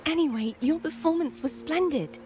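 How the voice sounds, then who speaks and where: An actress playing a hesitant, wistful and mysterious young woman in a game cutscene, musing and polite with a halting delivery. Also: high-pitched, music in the background, close to an everyday voice. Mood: warm, wistful. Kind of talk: video game cutscene acting.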